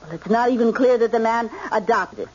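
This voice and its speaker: A woman's voice: fairly high pitch, brisk, muffled recording, lightly acted. A woman playing a fussing, protective middle-aged wife and mother in an American radio drama, chiding and firm. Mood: firm, defensive. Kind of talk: old-time American radio drama acting. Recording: band-limited vintage radio recording, faint noise.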